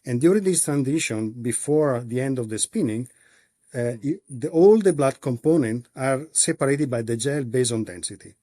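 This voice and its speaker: A man from Italy with an Italian accent, answering interview questions as a company executive in a halting way with many pauses and restarts. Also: mid-range voice, animated, mellow, no echo, clean studio sound.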